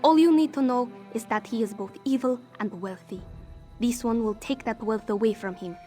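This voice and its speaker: A woman's voice: on the high side, moderate pace, warm tone, soft background music, close to an everyday voice. A woman playing a wry, proud cat-folk smuggler with a theatrical, sardonic manner in scripted fantasy game dialogue. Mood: grim, defiant. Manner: theatrical, sardonic, speaks of herself in third person.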